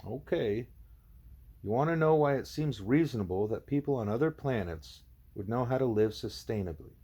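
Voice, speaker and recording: Man reading as a husky, stubborn young girl pupil. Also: mid-range voice, animated, moderate pace, warm tone. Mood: hesitant.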